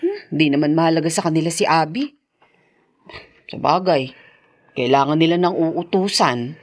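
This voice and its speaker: A woman's voice: low-pitched, animated, moderate pace. A woman playing a caring, concerned adult confidante with a Filipino accent in a radio drama.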